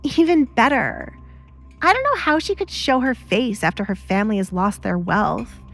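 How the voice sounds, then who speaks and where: A woman's voice: on the high side, expressive, moderate pace, creaky, fairly bright tone, faint music behind, pitched above the performer's own voice, full character voice. A woman voicing a spoiled, haughty young noblewoman in an audio drama, whining and indignant. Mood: disdainful.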